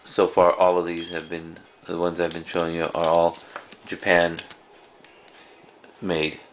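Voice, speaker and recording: A man giving a casual, unscripted show-and-tell of a collection in a halting, rambling way. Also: low-pitched, monotone, slight background noise. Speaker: cassette collector presenting a collection.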